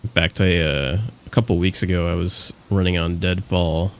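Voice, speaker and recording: A man's voice: low voice, little pitch movement, normal speed. A man streaming a survival game, talking casually and rambling with frequent restarts. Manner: rambling, lots of fillers and restarts. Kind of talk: casual gaming chat.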